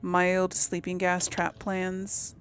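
A woman's voice: on the low side, completely flat delivery, soft background music. A woman casually commenting on her gameplay, musing aloud and talking to herself. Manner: slow, musing, talks to herself. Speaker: gameplay host. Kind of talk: casual let's play commentary.

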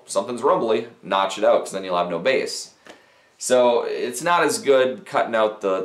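Low-voiced guy in his 30s chatting about pa gear, lots of "you know" and restarts, echoey little office. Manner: conversational, technical, frequent fillers and restarts. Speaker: audio gear reviewer. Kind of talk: casual gear review to camera. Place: small cluttered office with speakers and mixers. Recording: roomy small-office echo.

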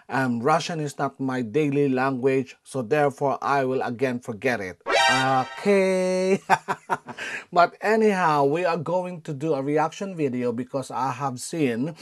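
A man's voice: mid-range voice, measured pace. A man in his thirties with a Filipino accent hosts a casual reaction vlog from his bedroom, gushing with frequent ums and exclamations. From Philippines. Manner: excitable, gushing, frequent "um" and "oh my God". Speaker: reaction video host. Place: bedroom at home.

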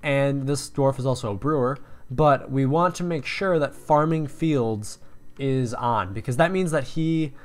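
A man's voice: mid-range voice, a little slow. A young man casually walking through a game tutorial, explaining step by step with fillers and restarts. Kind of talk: screen-recorded game tutorial.

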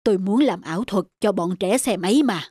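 A woman voicing Kiên, a kindly middle-aged male painter, speaking gently and encouragingly. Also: medium pitch, a little fast, close to an everyday voice.

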